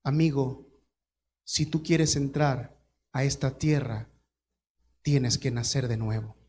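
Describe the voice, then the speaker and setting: A preacher doing a stern, stoic palace guard. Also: male voice, mid-range voice, fairly even intonation, slow pace, a little mellow, pitched below the performer's own voice, close to an everyday voice. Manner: firm, stoic.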